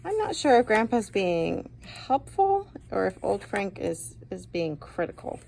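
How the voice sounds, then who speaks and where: A woman reads a children's picture book aloud at an unhurried pace. She sounds like a teacher, expressive and guiding, and pauses for asides and questions to her young listeners. Her voice sits at a medium pitch. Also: vocal fry, slight background noise, low-quality recording.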